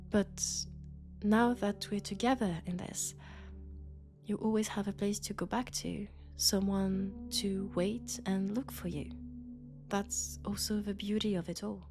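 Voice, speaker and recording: A woman with a French accent, sick with a cold, playing a gentle, sociable traveling doctor from Mars in an intimate sci-fi audio roleplay, chatty and soft. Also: medium pitch, steady tone, a little slow, over music, natural voice. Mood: affectionate, playful. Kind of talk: intimate sci-fi roleplay acting, one-sided conversation.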